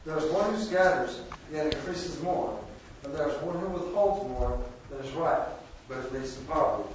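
A man's voice: on the high side, normal speed, a little hiss or hum. A man in a group reading a scripture verse aloud steadily. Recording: echoey, far from the mic, dull.

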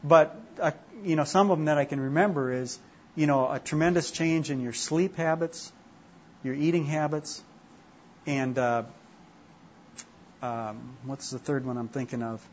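A man's voice: on the high side, unhurried, long pauses, lo-fi sound. A man from Minnesota with a Minnesota accent, leading an informal class discussion in a chatty, self-deprecating way. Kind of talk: informal group teaching.

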